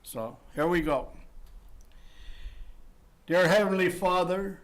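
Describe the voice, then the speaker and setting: A man's voice: high voice, slow. An older man from Ireland with an Irish accent, a guest telling wry stories and reading his poem at a town meeting. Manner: wry, chuckling storyteller. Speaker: guest poet.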